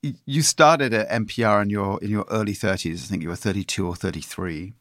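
A man with a lowish voice hosts the interview. He asks his questions thoughtfully, with small restarts along the way. The sound is dry and close. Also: fairly quick, a bit bright, no echo. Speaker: interviewer, host.

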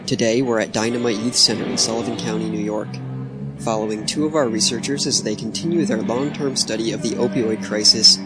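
A man's voice: on the low side, fairly even intonation, fairly quick. A man hosting a podcast with scripted narration. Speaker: podcast host. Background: loud instrumental music.

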